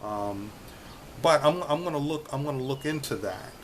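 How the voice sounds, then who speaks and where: A man in his fifties with a soothing voice, vlogging casually from a hotel room, talking slowly with many restarts. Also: mid-range voice, animated, a little hiss or hum. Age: 50s. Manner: slow, repetitive, many restarts and fillers. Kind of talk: casual vlog chat. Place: extended stay hotel room.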